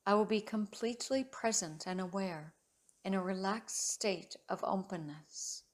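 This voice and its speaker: A woman leading a guided relaxation meditation as a yoga teacher, speaking in a soothing, measured way with long pauses between phrases. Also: medium pitch.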